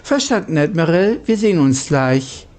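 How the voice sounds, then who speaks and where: A woman's voice: sits low, expressive, normal speed, a little mellow. A woman role-playing dialogue over a video game in a terse, formal manner.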